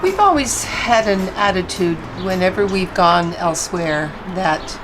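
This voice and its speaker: A woman from Canada with a Newfoundland accent, talking reflectively in a casual interview, with frequent pauses and fillers. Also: lowish voice, animated, background noise. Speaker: interviewee, volunteer. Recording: music bed behind voice.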